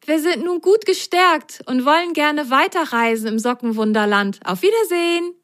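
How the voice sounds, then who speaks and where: A woman voicing a cheerful, curious little girl sock in a children's story, high and playful. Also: lively intonation, moderate pace, a bit bright.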